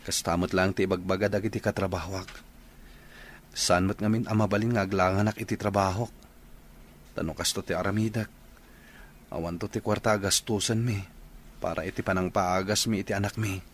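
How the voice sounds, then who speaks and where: An actor playing a tired, dutiful village father and son in an Ilocano radio drama, with an Ilocano accent and a husky voice. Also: low-pitched, fairly even intonation, brisk, pauses often, slight background noise, natural voice. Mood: weary.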